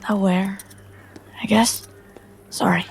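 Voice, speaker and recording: A woman's voice: mid-range voice, fairly even intonation, takes it slowly, slight background noise. A woman playing a guarded, hesitant young adventurer. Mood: sheepish. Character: Poppy, evasive apologetic young female adventurer.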